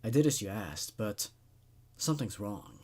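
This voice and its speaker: Man acting a haunted, husky, frightened patient. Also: on the low side, very animated, normal speed, darkish tone, pitched below the performer's own voice, heavily acted. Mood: frantic.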